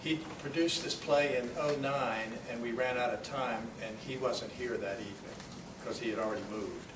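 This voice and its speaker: A man's voice: medium pitch, moderate pace. A man informally explaining arrangements to a group as one of the organizers. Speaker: club member organizing a script performance. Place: meeting room, recorded from a distance. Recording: echoey room, distant mic, clear noise.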